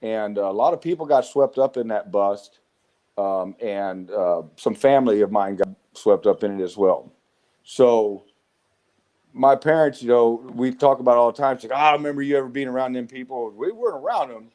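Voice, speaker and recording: A man in his forties with a Southwest American accent, telling rough, profane stories of his youth as an interview guest. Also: mid-range voice, normal speed, a little mellow. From United States. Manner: rough-spoken, swearing, frequent restarts. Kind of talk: casual storytelling interview.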